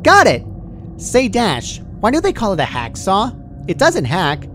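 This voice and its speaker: A man's voice: high-pitched, huge pitch swings, unhurried, fairly bright tone, soft background music, voice pushed up, full character voice. A man voicing a cheerful, childishly chatty, menacing female pony in a perky, scolding manner, reading a story aloud. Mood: playful. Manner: perky, scolding, sing-song matter-of-fact chatter. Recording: clearly noisy home recording.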